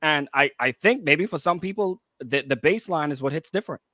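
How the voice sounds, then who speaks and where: A man co-hosting a casual radio discussion, working through his points haltingly and rephrasing them. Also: fairly high pitch, expressive, pushed and bright, no room sound, studio quality. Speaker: radio talk show co-host.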